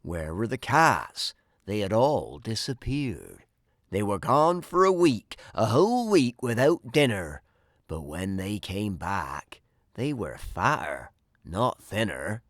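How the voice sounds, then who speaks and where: A man reading a rhyming children's story aloud in an animated, dramatic way. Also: lowish voice, takes it slowly, no room sound, studio quality. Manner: theatrical, dramatic delivery. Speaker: storybook narrator.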